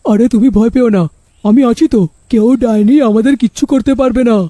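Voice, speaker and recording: A man's voice: very high-pitched, steady tone, fairly quick, dark tone, dry recording, studio quality. A man voicing a village husband and father in a cartoon, exclamatory and easily alarmed. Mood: reassuring. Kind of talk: cartoon voice acting.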